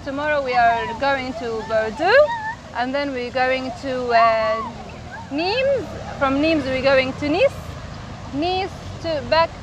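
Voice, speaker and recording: Woman from Yemen, travel vlog host, chatty, high voice, lapel mic outdoors, street noise. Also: lively intonation, slow pace. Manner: chatty, upbeat interviewer. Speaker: travel vlogger. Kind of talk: casual travel vlog chat. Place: outdoors in a large city square.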